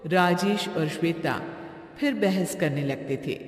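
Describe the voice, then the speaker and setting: A woman's voice: fairly low pitch, a little slow. A woman reading scripted storybook narration as the narrator of a moral tale. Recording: roomy, long reverb.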